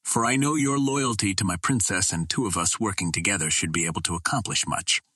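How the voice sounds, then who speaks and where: A loyal Martian warrior speaks earnestly and briskly, as a male audiobook reader plays him. His voice is low and a little husky. The recording is dry and close, like storybook dialogue. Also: bright, forceful tone, dry recording, full character voice. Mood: earnest, resolved.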